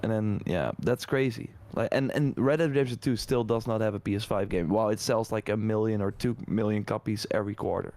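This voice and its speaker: Male co-host, lowish voice, laid-back and informal, casual conversational delivery. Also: brisk, few pauses, a little mellow. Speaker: podcast co-host. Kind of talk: casual chat.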